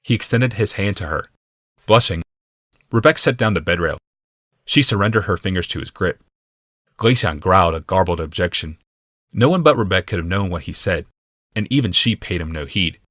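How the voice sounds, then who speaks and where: A man with a low voice narrates a fantasy audiobook. His delivery is deliberate and dramatic, clearly scripted storytelling. The sound is close and dry, without room echo. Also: expressive, fairly bright tone.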